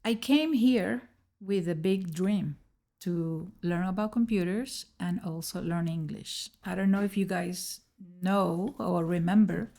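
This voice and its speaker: A middle-aged woman from Venezuela with a Spanish accent, a podcast guest telling her own story in earnest, slightly halting English. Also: on the low side, gravelly, dark tone. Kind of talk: personal storytelling in an interview.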